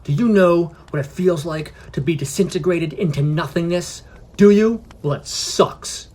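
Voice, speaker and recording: A narrator voicing an angry, foul-mouthed little male owl familiar who rants and shouts. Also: mid-range voice, measured pace, voice pushed up, lightly acted. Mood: furious. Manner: shouting, ranting.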